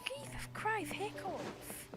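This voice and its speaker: A woman streaming a video game, commenting casually. She talks slowly at a medium pitch with expressive swings. Loud game music plays behind her. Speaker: gaming streamer. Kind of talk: casual reactions while playing a game.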